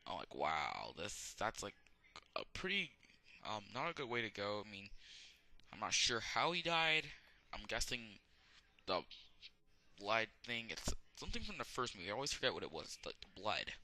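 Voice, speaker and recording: A man's voice: fairly low pitch, wildly swooping pitch, bright, forceful tone. Rambling young man with a sore throat. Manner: halting, many fillers and restarts. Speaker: amateur video reviewer. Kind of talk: rambling casual movie review.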